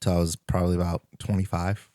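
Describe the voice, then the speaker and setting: A man's voice: low-pitched, little pitch movement, quick pace, warm tone, no room sound. Laid-back young man, dry sound. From Indiana, US. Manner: laid-back, trailing restarts, chuckling. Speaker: podcast host. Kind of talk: casual podcast chat.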